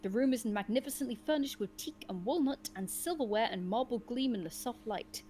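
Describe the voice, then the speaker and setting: A woman's voice: on the high side, brisk, warm tone. A woman reading a gamebook aloud to friends as the host, with dramatic storytelling and casual asides. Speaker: game reader and host.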